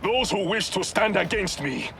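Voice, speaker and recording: A man's voice: high-pitched, animated, moderate pace, creaky, noisy background. An actor playing a dignified, resolute warrior king in a video game, formal and solemn. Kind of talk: game voice acting, formal diction.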